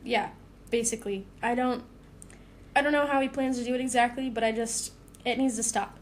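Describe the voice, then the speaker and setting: Woman doing nervous principled superhero boy, halting, highish voice, noise in the background, audio drama. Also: fairly even intonation, close to an everyday voice. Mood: flustered, troubled. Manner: hesitant, halting, earnest. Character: Caleb, anxious, principled young male superhero.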